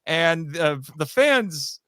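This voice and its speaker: A man with a slightly high, very bright voice chats as a podcast guest. He tells anecdotes in an animated, casual way with frequent chuckles, and the sound is close and dry. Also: extremely slow, clean tone, no room sound. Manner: animated, chuckles often while talking. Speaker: podcast guest, voice actor and writer. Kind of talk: casual interview chat, telling anecdotes.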